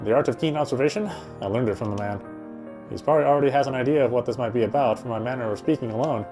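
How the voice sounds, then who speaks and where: A man reading first-person visual novel narration aloud in a soft, reflective, dramatic storytelling way. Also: mid-range voice, racing. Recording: music behind the voice. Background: game music.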